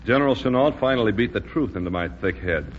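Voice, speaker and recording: Actor as lowish-voiced, steady American major. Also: male voice, quick pace, close to an everyday voice. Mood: firm. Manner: patient, plainspoken authority. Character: steady, firm American major, squadron commander. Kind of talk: 1940s radio drama acting. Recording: muffled, noisy vintage radio recording.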